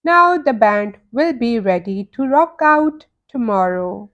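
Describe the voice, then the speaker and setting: Very slow, high-pitched woman reading a storybook. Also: lo-fi sound. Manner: deliberate, animated storytelling for young children. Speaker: children's read-aloud narrator. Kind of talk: expressive storybook narration.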